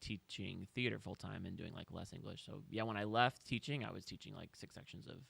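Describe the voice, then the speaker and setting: A man's voice: sits low, fairly quick, creaky, fairly bright tone, breathy edge, dry recording. A man from Kansas City, a podcast guest, telling his story in a chatty, wry way with self-deprecating jokes. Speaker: guest, real estate agent. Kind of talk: casual podcast chat.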